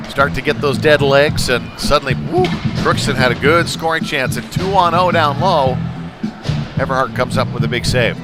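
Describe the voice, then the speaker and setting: A man calling live hockey play-by-play in clipped present-tense phrases that build with the action. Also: medium pitch. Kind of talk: live sports commentary.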